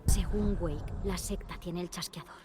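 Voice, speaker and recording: An actress with a Castilian Spanish accent playing a focused, skeptical female investigator in a dubbed video game, serious and measured. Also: on the low side, steady tone, loud music behind the voice, close to an everyday voice. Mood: pensive. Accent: Spanish (Spain). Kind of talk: dramatic video game dubbing.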